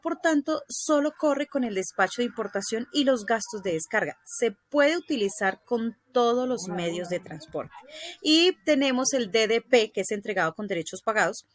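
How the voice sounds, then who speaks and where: A woman's voice: fairly high pitch, no room sound. A woman presenting a scripted explanatory lesson, reading aloud in a didactic way with occasional stumbles.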